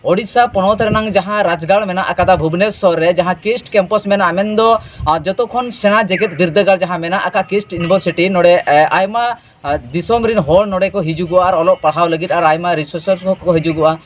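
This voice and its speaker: High-pitched man presenting regional news. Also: a little fast. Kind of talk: informal regional news commentary.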